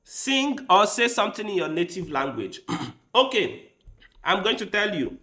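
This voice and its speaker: Male chess streamer, Igbo first language, bright lively delivery, unhurried, echoey room. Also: high voice. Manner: thinks aloud while playing, with quick bursts of excitement. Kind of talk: casual live-stream commentary.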